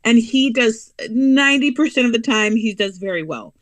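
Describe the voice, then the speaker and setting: A woman's voice: a little high, expressive. An American woman speaking as a guest in a casual interview, earnest and emphatic about her children and her values. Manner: earnest, emphatic, speeds up and slows down to stress points.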